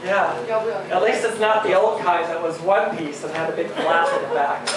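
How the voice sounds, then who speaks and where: A man's voice: sits high, steady tone, moderate pace. A man performing a stand-up comedy set in a small bar, chatting with the audience and asking them questions. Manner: chatty crowd work, asks the audience. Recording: roomy bar, from far off, crowd. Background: audience laughing and chatting.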